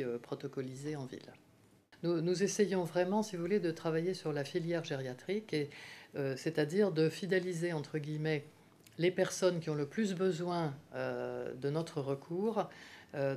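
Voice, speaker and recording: A middle-aged woman from Paris, a geriatrics professor, explaining her department in a measured way with frequent hesitations. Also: deep voice, darkish tone. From Paris, France. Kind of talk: explanatory interview answers.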